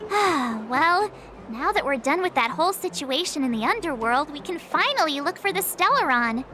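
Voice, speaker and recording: High-pitched, perky young adventurer girl. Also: big pitch swings, normal speed, bright, forceful tone, faint music behind, full character voice. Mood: thoughtful, chatty. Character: perky, upbeat young woman adventurer in a sci-fi game. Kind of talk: animated video game dialogue.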